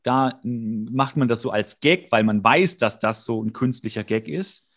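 A man's voice: medium pitch, normal speed, fairly bright tone. A man co-hosting a podcast, recapping an episode in casual chat with a jokey, rambling, wry manner. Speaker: podcast co-host.

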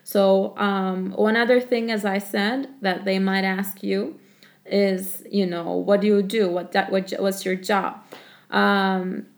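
A woman giving a relaxed, chatty language lesson, with many fillers, who repeats example phrases slowly. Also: mid-range voice. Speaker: language teacher. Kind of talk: casual spoken lesson.

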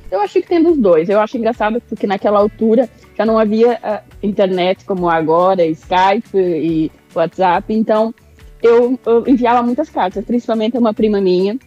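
Brazilian woman with a Portuguese-tinged accent, mellow. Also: mid-range voice, lo-fi sound, soft background music. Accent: Brazilian with European Portuguese touches. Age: mid-30s. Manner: reflective, halting, with restarts. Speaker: guest. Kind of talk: remote interview.

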